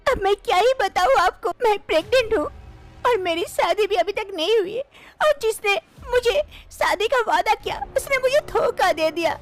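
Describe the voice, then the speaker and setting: A woman voicing a trusting, gentle young woman working in an office, speaking softly and earnestly in a cartoon story. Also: very high-pitched, lively intonation, moderate pace. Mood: desperate. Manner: soft, polite, earnest.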